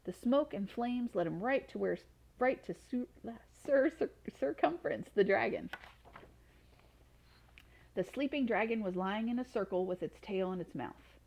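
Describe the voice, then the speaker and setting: A woman's voice: on the high side, unhurried, creaky, a little mellow, low-quality recording. A woman storyteller reading expressively to children. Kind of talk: expressive storybook read-aloud.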